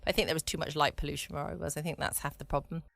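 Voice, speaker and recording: A woman's voice: deep voice, flat delivery, rapid-fire, gravelly, fairly bright tone, dry recording. A woman hosting a bedtime podcast in a chatty, rambling, understated way. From United Kingdom. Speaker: podcast host. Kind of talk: casual bedtime chat. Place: at home, close and dry.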